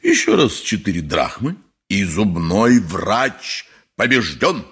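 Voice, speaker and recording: Pompous, wheedling lawyer, lowish husky voice. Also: male voice, sing-song, slow pace, a bit bright, heavily acted. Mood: rousing, oratorical. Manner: oratorical, patronizing. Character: wheedling, pompous, money-grubbing lawyer posing as workers' champion. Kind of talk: 1980s radio play acting.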